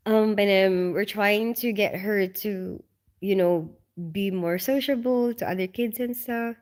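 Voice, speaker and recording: A woman in the middle of her vocal range, hosting a relaxed live stream from home late at night. She reads out viewers' comments and answers them in a loose, chatty way, with ums and soft laughter along the way. Her voice sounds tired and drowsy. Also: mid-range voice, a little slow. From Philippines. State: tired, getting sleepy. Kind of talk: casual live-stream chat.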